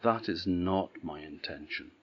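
A man voicing a calm, steadfast young gardener in a fairy tale, quiet and measured. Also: deep voice, husky, muffled recording.

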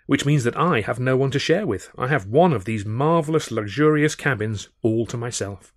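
A man's voice: mid-range voice, expressive, moderate pace, no echo, clean studio sound, natural voice. A man voicing a coy, inviting young woman from a theatre troupe in an audiobook, lightly teasing.

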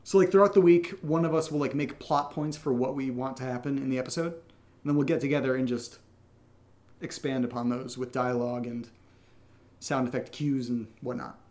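A man's voice: medium pitch, fairly even intonation, brisk, darkish tone. A man co-hosting a podcast, reading out questions and chatting casually in a teasing, self-deprecating way. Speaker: co-host of an audio drama podcast reading listener questions. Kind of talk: casual unscripted Q&A chat.

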